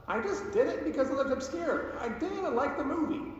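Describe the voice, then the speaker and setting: Very high, flustered young man, echoing. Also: animated, brisk. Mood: panicked, pleading. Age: young adult. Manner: flustered, stammering, pleading. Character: nervous, rambling young male amateur film podcaster under interrogation. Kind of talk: video game voice acting. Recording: dull, very echoey hall, faint noise.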